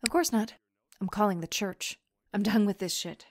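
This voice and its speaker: An actress as a bitter, guarded woman in an audio drama. She is curt and sarcastic, swears freely, and comes through very dry, at a middling pitch. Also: moderate pace, no room sound, lightly acted. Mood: exasperated, hostile. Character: Florence, guarded, bitter adult woman, wary school survivor. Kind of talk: naturalistic audio drama acting.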